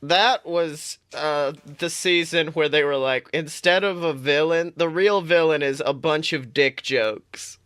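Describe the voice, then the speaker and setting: A man with a bright, slightly high voice chats on his podcast, animated and quick to swear and laugh. The sound is dry and close, from a studio mic. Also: clean tone, no echo. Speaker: podcast co-host. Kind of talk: casual chat.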